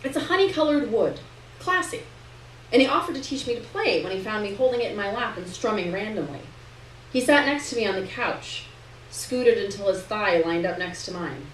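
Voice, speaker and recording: A woman's voice: mid-range voice, animated, normal speed. Woman reading fiction in an echoey room. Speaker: story reader. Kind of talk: literary narration read aloud to an audience. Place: live reading in a roomy indoor venue. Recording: roomy distant live recording, noticeable hiss.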